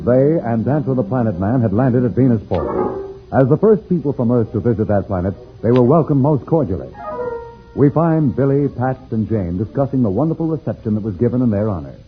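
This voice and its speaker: A man's voice: mid-range voice, lively intonation, a little fast. A man narrating a 1950s radio adventure serial in a dramatic, formal announcer's delivery. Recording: tinny old radio recording with music. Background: loud music.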